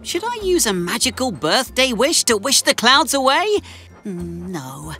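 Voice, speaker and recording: Cheerful young boy train, bright tone, unhurried, bubbly, expressive, children's cartoon. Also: fairly high pitch, soft background music, exaggerated voice. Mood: musing. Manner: bubbly, wide-eyed, expressive. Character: cheerful eager young boy tank engine.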